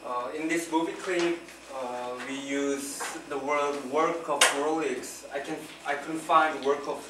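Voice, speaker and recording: A man's voice: on the high side, steady tone, measured pace. A young man with a Korean accent presents vocabulary to his class, hesitant and nervous as he speaks. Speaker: student presenter. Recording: roomy, distant, noisy classroom.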